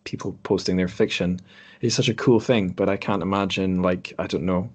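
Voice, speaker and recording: Low-pitched man hosting a casual podcast. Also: steady tone, normal speed, a little mellow, slightly rough. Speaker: podcast host. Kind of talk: casual group chat. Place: remote group call.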